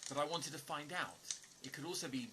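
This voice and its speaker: A man playing an earnest stranger pleading for trust in an audio drama, speaking haltingly and repeating words. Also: fairly high pitch, noisy background, lightly acted. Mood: explanatory, guarded. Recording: music under the voice. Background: music.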